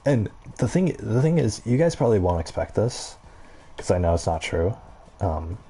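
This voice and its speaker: Male gaming creator, deep and mellow, halting casual ramble, faint noise behind. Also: sing-song. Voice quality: low-pitched voice. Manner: halting, rambling, trails off and circles back. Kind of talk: casual gameplay commentary, rambling chat.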